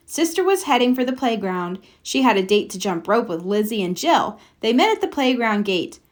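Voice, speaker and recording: Animated woman reading a storybook. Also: fairly high pitch, moderate pace. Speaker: children's book read-aloud narrator. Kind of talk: expressive scripted storybook narration.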